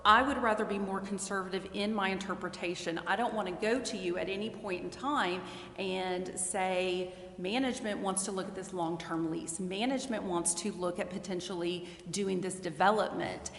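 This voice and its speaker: A woman giving a careful, deliberate and formal explanation as a legal advisor at a public council meeting. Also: medium pitch, fairly bright tone. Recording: echoey hall, clear background noise.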